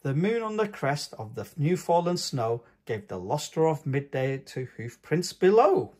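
A man reads a storybook to young children in an expressive, singsong way. His voice sits a little high and swoops widely in pitch as he tells the tale. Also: unhurried. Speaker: host of a children's read-aloud channel.

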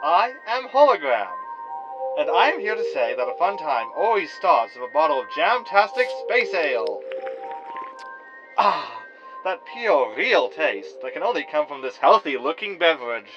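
A man's voice: on the high side, big pitch swings, moderate pace, lo-fi sound, over music. A man playing a sarcastic, scheming hologram in a sci-fi comedy audio drama, wordy and mocking. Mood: mock advertising cheer.

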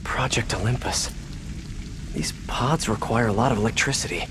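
A man's voice: lowish voice, normal speed, background noise, natural voice. An actor playing a young, wisecracking male superhero muttering to himself in a video game. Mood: wry, focused.

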